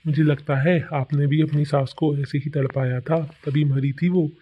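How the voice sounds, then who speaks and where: A man's voice: fairly high pitch, little pitch movement, normal speed, dull audio, a little hiss or hum, close to an everyday voice. A man playing a gossipy village busybody in a cartoon, teasing and needling with mock friendliness. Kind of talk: cartoon character dialogue.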